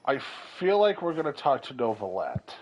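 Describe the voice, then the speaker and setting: A man's voice: medium pitch, sing-song, normal speed, slight background noise. Casual male game streamer, slightly muffled. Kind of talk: casual reaction commentary over gameplay.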